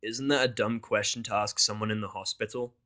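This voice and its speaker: A man voicing a grumpy, foul-mouthed story character with a soft spot, gruff and sarcastic. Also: fairly low pitch, fairly even intonation, brisk. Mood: sardonic.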